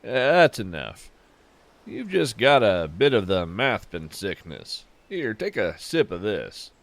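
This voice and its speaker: A man's voice: lowish voice, big pitch swings, slow, gravelly, exaggerated voice. A man playing a folksy, unflappable naturalist who reassures with wry humor in an audio fiction podcast. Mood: folksy, reassuring.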